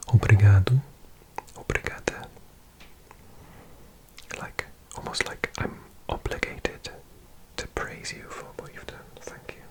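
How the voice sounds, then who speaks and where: Language tutor for ASMR: hushed breathy whisper, very unhurried delivery, gentle repetition of vocabulary. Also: sits low, huge pitch swings, poor audio. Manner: softly repeating words, unhurried. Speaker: language teacher.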